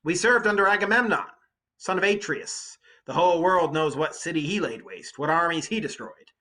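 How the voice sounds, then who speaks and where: A man's voice: a little high, expressive, normal speed, bright, forceful tone, voice pushed up, rough recording. A man reading as a proud, courteous Greek warrior from a storybook, formal and emphatic. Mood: proud, entreating. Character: Greek warrior wanderer, proud yet courteous, pleading for hospitality.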